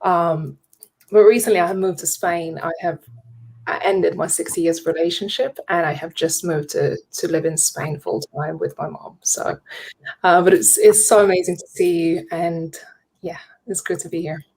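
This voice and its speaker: Lithuanian-born woman, 30s, lower voice, reflective and open, video call. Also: steady tone, normal speed, darkish tone, husky, low-quality recording. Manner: open, reflective, many fillers. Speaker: guest author.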